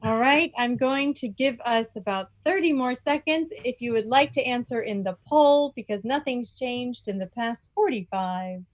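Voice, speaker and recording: A woman's voice: fairly high pitch, normal speed, hardly any pauses, no echo. A woman hosting an informal quiz for a group, making announcements in a playful, mock-formal manner. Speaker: quiz host at a club meeting.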